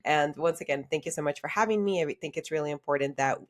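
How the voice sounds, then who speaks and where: A woman with a slightly low voice talks as a guest on a podcast. She grew up in Honduras and then the United States, and she tells personal stories in a candid, reflective, conversational way. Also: fast. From Honduras until age eight, then United States. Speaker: podcast guest, career coach.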